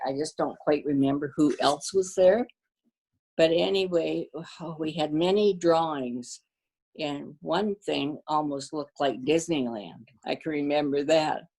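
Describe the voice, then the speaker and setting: An older woman, born to a Danish-speaking family, reminiscing about her community in a casual interview, pausing often with fillers and restarts. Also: sits low. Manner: warm storyteller, halting with fillers and restarts. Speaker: guest.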